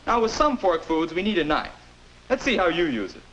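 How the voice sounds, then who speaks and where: A man's voice: sits high, big pitch swings, a little fast, fairly bright tone. An American actor in his twenties playing a patient, encouraging older self instructing a boy in 1950s educational film style. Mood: instructive. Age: 20s. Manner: instructive, encouraging, neatly spoken. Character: patient, upbeat 21-year-old older self mentoring a boy. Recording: noisy old 1950s film soundtrack.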